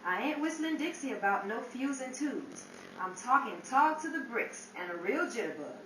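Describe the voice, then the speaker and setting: A woman's voice: fairly high pitch, expressive, moderate pace, a bit bright. A woman playing a wisecracking, slangy 1930s nightclub go-between in a theatrical old-time radio style. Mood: swaggering, slangy. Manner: theatrical, jive-slang patter. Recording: roomy, distant mic, hissy background.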